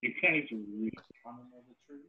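A man's voice: mid-range voice, quick pace, close to an everyday voice. A man playing a dim, tactless, childish male model with blunt, fumbling speech in a comedy table read. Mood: puzzled. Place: at home on a video call. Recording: muffled, noisy, clicking video call.

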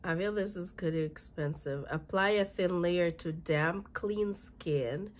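A woman in her thirties, hoarse and raspy from a cold, chatting through a beauty unboxing and reading product labels aloud in a halting way, in a small room. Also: fairly low pitch. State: hoarse, congested from illness. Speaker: beauty vlogger. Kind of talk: casual unboxing chat. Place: small bedroom with fluffy chair, indoors.

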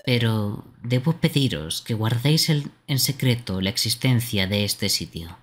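A man's voice: mid-range voice, expressive, unhurried, fairly bright tone. Man doing a solemn guardian creature. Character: solemn mystical guardian creature of a secret lake. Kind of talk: live amateur game dubbing.

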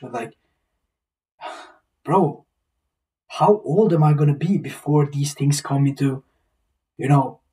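A man's voice: medium pitch, darkish tone, distant mic, poor audio. A man of about twenty talking to camera in a rambling, reflective casual monologue, repeating himself and swearing now and then. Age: 20s. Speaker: vlogger. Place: small plain indoor room.

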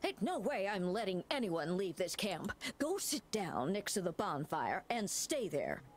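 A woman's voice: medium pitch, big pitch swings, a little slow. An actress voicing a bossy, scolding older woman in a video game, with folksy speech.

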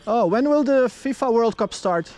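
A man's voice: extremely high pitch, animated, moderate pace, a little mellow. A man in his thirties from Belgium, chatting casually as a travel vlogger while walking around, laughing often. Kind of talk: casual vlog narration. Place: outdoor stadium and its corridors. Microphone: action camera held at arm's length.

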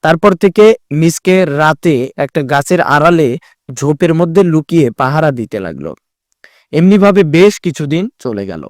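Higher-pitched man narrating a story. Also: very animated, normal speed, dry recording, studio quality.

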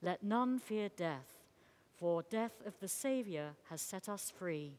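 A woman's voice: mid-range voice, lively intonation, slow, darkish tone. Woman solemnly reading a homily. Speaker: clergy reader.

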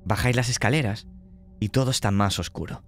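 A man's voice: lowish voice, fairly quick, a bit bright, a little hiss or hum. A man narrating a tabletop horror game as game master, speaking conversationally and checking in with his players.

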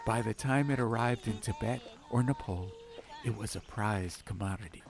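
Hushed male audiobook narrator. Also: lowish voice. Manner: measured, hushed first-person storytelling. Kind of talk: atmospheric storybook narration. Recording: ambient noise bed under the voice.

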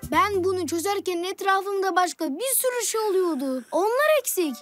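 A lazy cartoon genius who loves comfort. The voice is tiny and very high, deadpan in delivery, set over clear background music. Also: female voice, normal speed. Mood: demanding, content. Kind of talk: children's cartoon dubbing.

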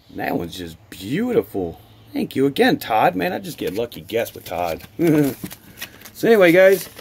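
An American man, a hobby vlogger with a husky voice, chatting casually and appreciatively to viewers as he shows things on camera. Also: mid-range voice, darkish tone, a little hiss or hum. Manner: enthusiastic, appreciative. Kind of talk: casual chat to camera while opening mail.

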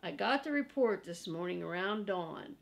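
A woman's voice: lowish voice, expressive, moderate pace, creaky. An older woman reading a children's chapter book aloud as a storyteller. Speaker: storybook reader. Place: living room, seated in an armchair.

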